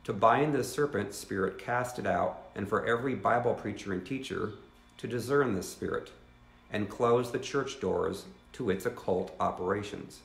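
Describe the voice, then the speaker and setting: A man's voice: lowish voice, normal speed, gravelly, echoey room, low-quality recording. Earnest fifties man reading a teaching. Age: 50s. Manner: earnest, reads steadily with asides to viewers. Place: living room at home.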